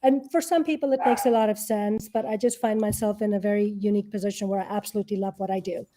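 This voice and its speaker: A woman's voice: mid-range voice, fairly even intonation, fast, noisy background. A middle-aged woman giving casual interview answers in a conversational way, often voicing imagined quotes to make her points. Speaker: interview guest, tech product leader and investor.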